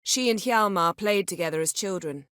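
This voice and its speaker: A woman voicing a haughty, sharp-witted sorceress in a video game, with an imperious, sardonic manner and clipped, articulate delivery. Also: medium pitch, normal speed, smooth, dry recording, studio quality, natural voice. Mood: composed, serious. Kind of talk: video game character voice acting.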